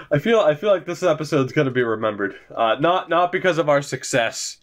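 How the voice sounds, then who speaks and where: A man's voice: a little high, animated, a little slow. Rambling, swearing young male gamer. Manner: rambling, swears often, self-mocking. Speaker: gaming YouTuber. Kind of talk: casual gameplay commentary.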